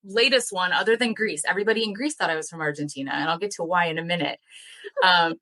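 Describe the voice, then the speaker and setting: A woman's voice: on the low side, fast, sharp tone. A young woman in a casual podcast interview, talking about her farm and language work in an enthusiastic, chatty way with many fillers. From United States. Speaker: guest, language educator and dairy farmer.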